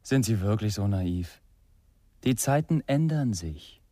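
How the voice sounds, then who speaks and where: An actor playing a condescending far-right extremist in a radio crime drama, controlled and quietly threatening. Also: male voice, lowish voice, expressive, slow pace, no echo, studio quality. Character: menacing, self-assured male far-right extremist, condescending.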